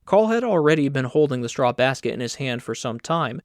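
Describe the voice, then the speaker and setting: A man's voice: medium pitch, sing-song, fairly quick, no room sound, clean studio sound. A man reading scripted literary narration as an audiobook narrator.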